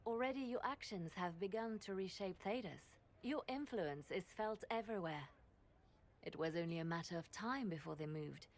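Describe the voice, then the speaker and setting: A woman playing a wistful, shrewd court diplomat in a video game, solemn, poetic and measured. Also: lowish voice, lively intonation. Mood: grave, gentle.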